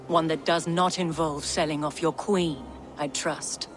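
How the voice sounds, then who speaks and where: A woman's voice: deep voice, fairly even intonation, a little slow, faint music behind. A proud, defiant goddess queen. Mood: indignant. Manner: firm, indignant. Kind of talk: dramatic video game acting.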